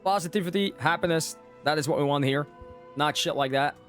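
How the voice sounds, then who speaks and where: A man's voice: a little high, fairly quick, fairly bright tone. A man streaming a game and chatting casually and wryly with viewers in short, informal reactions. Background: game music.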